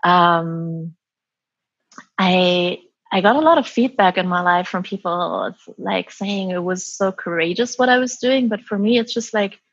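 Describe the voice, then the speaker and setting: A woman guest with a breathy voice who talks reflectively, full of "like" and "um", about her own path. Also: lowish voice, unhurried, a bit bright, dry recording, dull audio. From Germany and Taiwan. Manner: reflective, many "like" and "um" fillers. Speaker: guest, former business consultant. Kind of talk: reflective interview answers.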